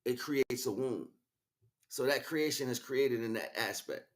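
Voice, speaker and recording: A man's voice: medium pitch, a little slow. A man improvising a spiritual lecture as a teacher, speaking emphatically, repeating key words and checking in with rhetorical questions. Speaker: spiritual teacher and livestream host.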